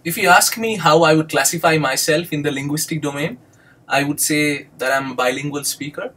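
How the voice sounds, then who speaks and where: A young man from India with an Indian English accent, a native Bengali speaker, giving a measured recorded monologue as an instructor, often pausing with um and uh. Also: a little high, fairly bright tone. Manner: measured and explanatory, many ums and uhs. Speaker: doctoral student and instructor.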